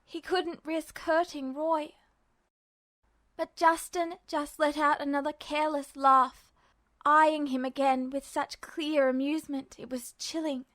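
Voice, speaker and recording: High-voiced woman narrating dramatic fiction. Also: level tone, a little slow, a bit bright, no echo. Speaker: amateur audiobook narrator.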